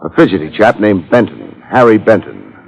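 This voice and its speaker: Low-voiced, husky, wisecracking private eye. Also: male voice, big pitch swings, normal speed, full character voice. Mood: dry narrating. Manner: sardonic, clipped, slangy. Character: wry, tough, wisecracking male private detective. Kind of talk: 1950s radio detective drama, first-person narration and dialogue. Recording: muffled old radio recording with music.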